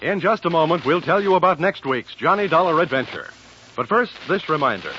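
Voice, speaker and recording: A man's voice: a little high, sing-song, fairly quick. A man with an American accent reading a scripted 1940s network radio announcement. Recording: dull lo-fi 1940s radio, faint music.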